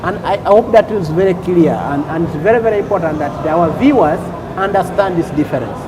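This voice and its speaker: High-voiced man explaining customs rules. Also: background noise. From Uganda. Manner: deliberate, repeats points, checks with "okay?". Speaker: customs official guest.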